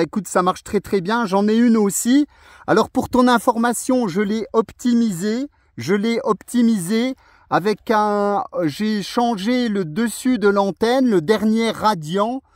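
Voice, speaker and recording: High-pitched Frenchman chatting on radio. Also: darkish tone, dry recording, studio quality. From France. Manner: chatty, friendly, tag words at phrase ends. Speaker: amateur radio operator. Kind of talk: casual radio contact chat.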